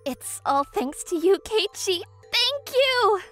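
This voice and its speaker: A woman voicing a bashful but feisty, secretly sweet teenage schoolgirl maid in a manga romcom dub, shy and halting. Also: very high voice, big pitch swings, a bit bright, exaggerated voice. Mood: delighted. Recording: music behind the voice.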